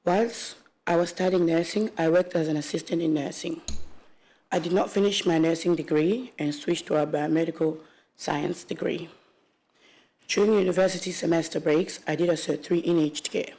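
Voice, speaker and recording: A woman in her twenties from Congo, a witness who reads her prepared statement aloud at a public hearing in a steady, careful way, with the odd restart. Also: fairly low pitch, moderate pace, poor audio. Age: 20s. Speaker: witness, aged care worker.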